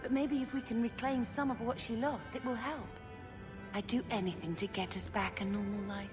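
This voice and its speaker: An actress playing an earnest, wistful young mage in a video game, speaking sincerely and reflectively. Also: female voice, fairly high pitch, normal speed, music in the background, close to an everyday voice. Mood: sad, earnest.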